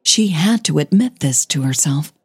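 A woman who narrates and hosts a fiction podcast, telling the story in a measured, dramatic way with deliberate pauses. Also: low voice, expressive, darkish tone, slightly rough, dry recording, clean studio sound. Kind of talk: scripted audio fiction narration.

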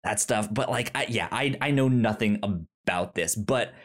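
Guy on a movie podcast, fairly low voice, stutters and restarts a lot, excited chat. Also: moderate pace. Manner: heavy stutter on first sounds, many restarts; animated, searching for words. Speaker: podcast co-host. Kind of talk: casual film discussion.

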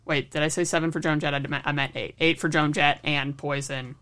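A woman's voice: low-pitched, steady tone, fairly quick, a bit bright. A woman co-hosting a casual podcast chat, telling stories and acting out what people said. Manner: chatty storyteller who acts out quoted remarks. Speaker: podcast co-host.

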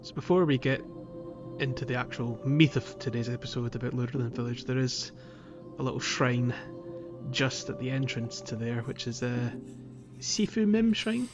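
A man's voice: medium pitch, normal speed. A man co-hosting a podcast, chatting casually about a video game. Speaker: podcast host. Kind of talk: casual gaming podcast chat. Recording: dull lo-fi audio with music behind. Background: music.

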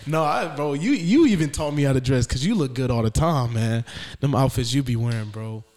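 A young man with a medium-pitched voice co-hosts a casual podcast. He speaks candidly in relaxed slang, addressing his friend directly and informally. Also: brisk. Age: young adult. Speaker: podcast co-host. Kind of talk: casual chat.

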